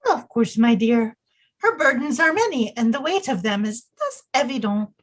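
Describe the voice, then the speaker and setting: Woman playing a high, lilting, sweet young queen. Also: very animated, normal speed. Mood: concerned. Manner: effusive, courtly, theatrical. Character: sweet, eager, naive young queen consort. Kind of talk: period audio drama acting.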